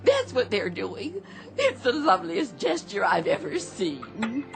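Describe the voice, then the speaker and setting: A woman's voice: mid-range voice, huge pitch swings, unhurried, over loud music. A woman playing a sweet, gushing, admiring lady customer in a radio drama. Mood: gushing, delighted.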